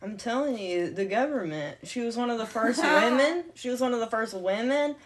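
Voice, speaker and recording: A woman in her late twenties with a slight lisp, chatting casually as a podcast co-host, animated and jokey. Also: fairly high pitch, moderate pace. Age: late 20s. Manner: animated, jokey, swings loud and quiet. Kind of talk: casual chat.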